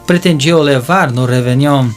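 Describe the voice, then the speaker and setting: A man with a Brazilian accent narrating a scripted audio drama as a deliberate storyteller who addresses listeners. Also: medium pitch. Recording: voice over a music bed.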